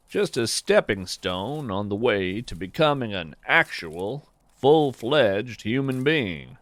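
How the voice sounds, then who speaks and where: Slow, expressive male essay reader. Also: medium pitch, no room sound. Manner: measured, musing, lingering on phrases. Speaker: podcast host and writer reading his essay. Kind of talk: slow reflective scripted narration.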